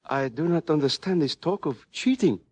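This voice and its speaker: An actor playing a polite, serene, shrewd Chinese merchant in a radio western, speaking formal, deliberate broken English with a Chinese accent. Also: male voice, fairly high pitch, animated, a little mellow. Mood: courteous, innocent. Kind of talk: old-time radio western acting. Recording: muffled old radio recording.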